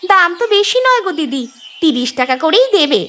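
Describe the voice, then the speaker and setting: A woman voicing an eager, wheedling street hawker, calling out sales pitches in a cartoon. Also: very animated, a little fast, heavy background noise. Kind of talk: Bangla cartoon dialogue, sing-song sales calls. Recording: background music under voice.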